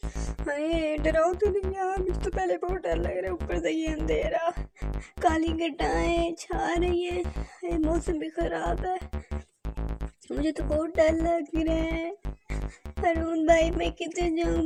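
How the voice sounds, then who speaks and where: A woman's voice: very high-pitched, little pitch movement, takes it slowly, gravelly, over music, heavily acted. A woman voicing a scared, whining child in a children's cartoon, pleading and exclaiming. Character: frightened, whining child out lost at night.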